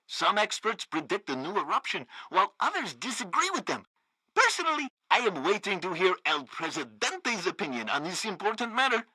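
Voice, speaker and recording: Gleefully loyal state radio host: bright, forceful, swooping delivery, pompous and sycophantic, game news satire. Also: male voice, high-pitched, sing-song, a little fast, husky, no room sound, full character voice, low-quality recording. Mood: grandiose, fawning. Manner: grandiose, sycophantic.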